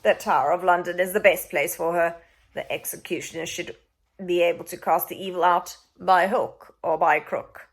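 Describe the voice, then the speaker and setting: A woman's voice: lowish voice, steady tone, normal speed. A woman voicing a scheming male royal adviser in a children's story, formal, pointed and sanctimonious. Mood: sinister, condescending. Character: smooth, insinuating male Lord Protector, scheming royal adviser.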